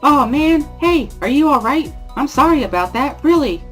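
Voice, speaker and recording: Man voicing a high-pitched, flustered teenage girl. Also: lively intonation, fairly bright tone, faint music behind. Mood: apologetic. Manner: flustered, rapid apologies and excuses. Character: energetic, apologetic teenage girl runner, small and cute.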